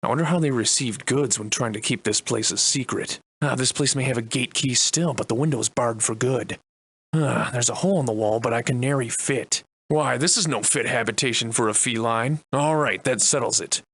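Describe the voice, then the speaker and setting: An actor playing a wry, defiant Victorian-era adventurer and monster slayer in a video game, soliloquizing in florid, old-fashioned diction. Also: male voice, mid-range voice, moderate pace, fairly bright tone, husky. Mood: wry, musing. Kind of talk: theatrical video game voice lines in archaic English.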